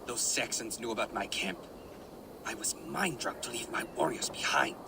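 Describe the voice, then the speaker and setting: An actor playing a guarded, regretful young Danish warrior captain in a video game. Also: male voice, mid-range voice, very animated, normal speed, creaky, background noise. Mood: guarded, rueful. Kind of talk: video game cutscene acting. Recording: distant voice under music.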